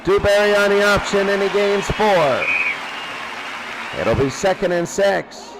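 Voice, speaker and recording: American guy doing football play by play, pretty high voice, really noisy recording. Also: a little slow. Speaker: play-by-play commentator. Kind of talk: live American football commentary.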